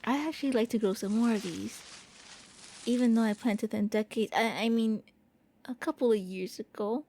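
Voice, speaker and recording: A woman voicing a gentle, shy vampire prince in an intimate ASMR roleplay, speaking softly and a little hesitantly. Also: a little high, moderate pace, slight background noise, natural voice. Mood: shy, hopeful. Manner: gentle, hesitant, intimate, stammering when flustered. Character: gentle, shy, eager young-looking immortal vampire prince. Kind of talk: soft ASMR roleplay monologue.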